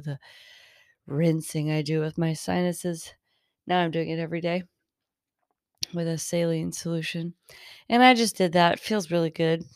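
A woman hosting her own improvised podcast, talking in a chatty, rambling way, her voice raspy and tired, partly lost. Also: low voice, dry recording, studio quality. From Northern California. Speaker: podcast host. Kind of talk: improvised solo talk. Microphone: close mic.

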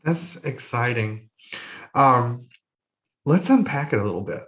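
A man's voice: mid-range voice, lively intonation, measured pace, a bit bright. A man hosting a podcast, asking casual, inquisitive questions and often checking with "right?". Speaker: podcast host. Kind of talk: interview questions.

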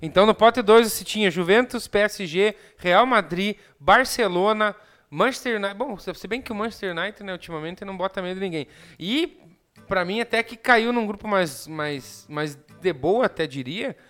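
Brazilian football panelist with a highish voice. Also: male voice, normal speed, a bit bright. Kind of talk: casual sports chat. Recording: background music behind the voice.

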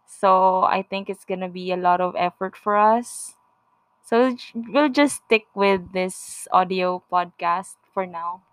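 Woman, Filipino accent, young adult, unhurried pace, dry close recording. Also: fairly low pitch, smooth, no room sound. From Philippines. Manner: giggly, repeats words when starting. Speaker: podcast co-host. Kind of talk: casual couple chat.

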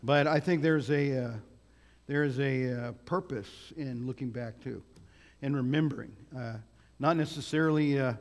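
A man with a big voice preaches at a church. He talks loosely, with many fillers and restarts. His voice has a gravelly edge, and the hall rings with long reverb. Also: medium pitch, normal speed. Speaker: guest preacher. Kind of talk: sermon. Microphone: microphone on a sound system.

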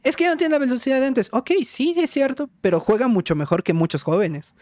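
A woman's voice: high-pitched, a little fast, a little mellow, no room sound. A woman with a Mexican accent co-hosting a casual talk, making her points emphatically with repetition and rhetorical questions. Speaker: podcast co-host. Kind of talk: casual conversation.

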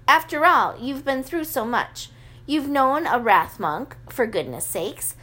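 Confident kind wizard's wife read by a woman, bit high, reassuring. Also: lively intonation, measured pace, sharp tone, full character voice.

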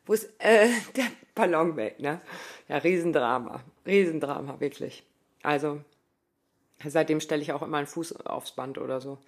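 A woman's voice: low voice, normal speed. A woman in her thirties talking casually in a spoken diary, rambling with lots of fillers and asides. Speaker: running podcaster.

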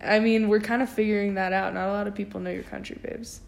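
A woman's voice: medium pitch, steady tone, quick pace, vocal fry, roomy sound. A young woman co-hosting a casual podcast, chatting loosely with lots of fillers. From United States. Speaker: podcast co-host. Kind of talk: casual chat.

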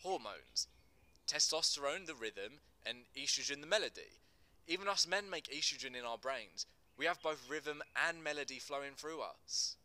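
A man's voice: on the high side, expressive, normal speed, sharp tone, voice pushed up. A man acting an earnest gangster who explains things with emphatic passion in a dramatic screenplay reading. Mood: explaining passionately. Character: earnest Teddy Boy gangster, passionate non-binary teacher.

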